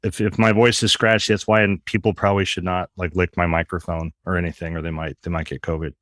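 A man's voice: deep voice, little pitch movement, fast, a bit bright, no room sound, clean studio sound. A middle-aged man co-hosting a podcast, talking earnestly with many fillers and restarts while tired from an illness. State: recovering from covid, tired. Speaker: podcast co-host, religion professor. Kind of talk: casual podcast chat.

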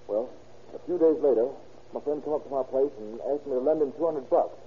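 An actor playing a nervous, evasive crook with slangy, ungrammatical speech in a classic radio police drama. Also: male voice, mid-range voice, fairly quick, natural voice. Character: nervous, cornered crook brother under police questioning. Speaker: narrator and actor. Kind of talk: 1930s-40s radio police drama acting. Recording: muffled, noisy old radio recording.

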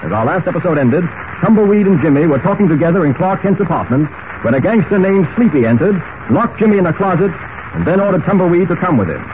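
A male narrator delivers dramatic 1940s radio serial narration in a high, sweeping voice. The recording is thin and boxy, with clear background hiss. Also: big pitch swings, quick pace. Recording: muffled, noisy old radio recording.

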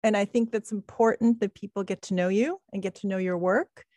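Woman hosting a podcast, mid pitch, super encouraging, dry close sound. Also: steady tone, fairly quick, darkish tone, no room sound. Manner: encouraging, lots of affirming interjections. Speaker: podcast host. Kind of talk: interview podcast.

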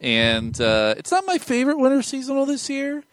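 A man's voice: very high-pitched, wildly swooping pitch, normal speed, a bit bright, clean tone, no echo, clean studio sound. A young man hosting a casual podcast, joking and laughing often with his guests. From United States. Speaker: podcast host.

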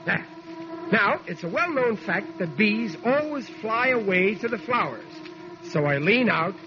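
A man's voice: high-pitched, sing-song, unhurried. An actor playing an exasperated, blustery working-class father with folksy, ungrammatical speech in a 1940s radio comedy. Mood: weary, cocksure. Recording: noisy, dull 1940s radio recording.

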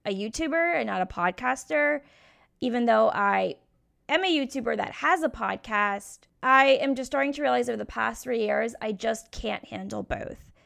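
A woman's voice: a little high, creaky, a bit bright. A young woman hosting her own podcast, talking candidly in a casual solo monologue full of "like" fillers. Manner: candid, frank, frequent "like" fillers. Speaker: podcast host.

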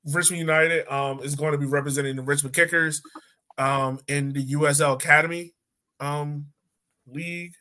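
A man's voice: on the high side, steady tone, moderate pace, pushed and bright. A man hosting a podcast, chatting casually about sports. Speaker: podcast host.

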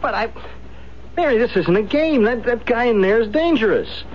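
A man's voice: very high-pitched, expressive, normal speed. An actor playing an uneasy, slangy male accomplice in a 1970s radio drama, with a flustered delivery. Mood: alarmed. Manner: stammering, flustered. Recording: dull, noisy 1970s radio recording.

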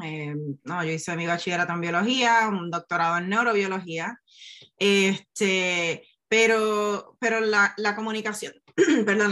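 Puerto Rican woman, forties, interview guest; mid-pitched, reflective, restarts often. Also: sharp tone. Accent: Puerto Rican Spanish. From Puerto Rico. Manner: reflective, with many fillers and self-corrections. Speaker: guest, neurobiologist and science communicator. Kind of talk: casual interview conversation.

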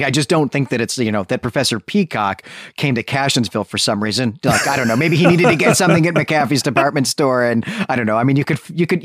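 A man with a medium-pitched voice talks through ideas on a literary podcast. He is analytical and academic, wandering through long sentences as he reasons. The sound is dry, with very little room. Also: dry recording. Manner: discursive, thinking aloud in long winding sentences. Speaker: podcast co-host. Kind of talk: conversational literary discussion podcast.

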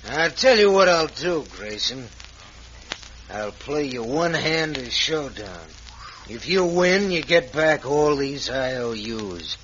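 Casting: cold, bossy frontier card sharp, unhurried delivery, old-time radio western, muffled recording. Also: male voice, mid-range voice, lively intonation, a little hiss or hum. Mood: pushy. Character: crooked hawk-faced gambler, tall, cold and domineering. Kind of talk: 1940s-50s radio western acting.

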